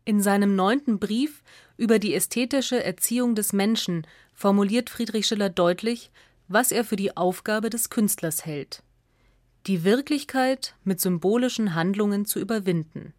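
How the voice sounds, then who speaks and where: A woman's voice: mid-range voice, moderate pace, a little mellow, no room sound. Woman narrating, dry close studio sound. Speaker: narrator of a radio essay. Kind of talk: scripted documentary narration.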